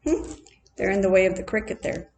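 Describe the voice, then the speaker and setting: A woman reading a children's story aloud expressively, like a teacher, deliberate and adding playful asides for her listeners. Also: medium pitch, lively intonation, a little mellow.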